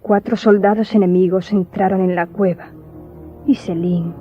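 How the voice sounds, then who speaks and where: A woman's voice: mid-range voice, fairly even intonation, normal speed, mellow, breathy edge, dry recording, lo-fi sound, lightly acted. Woman acting a solemn storytelling daughter over music. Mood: solemn, tense. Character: grieving daughter solemnly recounting her tragic childhood. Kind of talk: dramatic scripted narration, radio drama.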